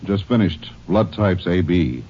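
Matter-of-fact doctor; deep, lo-fi sound. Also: male voice, animated, measured pace, slightly rough, full character voice. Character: calm male small-town doctor. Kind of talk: 1940s radio acting. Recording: dull old radio recording.

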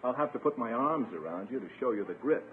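An actor playing a smug, flirtatious athletic doctor in a 1950s radio sitcom, breezy, self-assured and condescending. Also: male voice, medium pitch, expressive, fast talker, lightly acted. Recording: tinny, faintly noisy 1950s radio recording.

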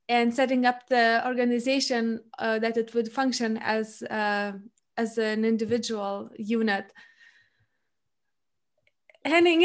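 Hesitant young woman, higher voice. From Lithuania. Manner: hesitant, many filler sounds. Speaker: podcast host. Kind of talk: casual interview conversation.

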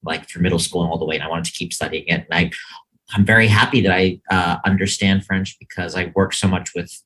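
A man with a deep, low voice talks loosely as an interview guest. He rambles, circles back and fills pauses with ums. He is speaking from an office. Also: steady tone, fairly bright tone, slightly rough, low-quality recording. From New York. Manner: rambling, many ums and restarts. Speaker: guest, media sales executive. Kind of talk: casual interview chat.

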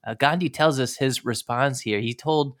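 A man with a very bright, forward voice hosts a history podcast. He talks in an enthusiastic, informal way, commenting conversationally as he goes. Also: medium pitch, normal speed. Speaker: podcast host.

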